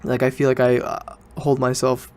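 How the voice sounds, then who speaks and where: Young man chatting casually, flat pitch. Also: moderate pace, smooth. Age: teens to young adult student. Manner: informal, rambling, self-correcting. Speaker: podcast host. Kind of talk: casual solo podcast chat.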